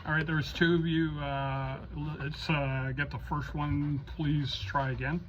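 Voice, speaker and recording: A halting, courteous man speaking as the controller of an amateur radio net, in procedural style. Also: fairly high pitch, level tone, a bit bright. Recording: dull lo-fi audio, faint noise.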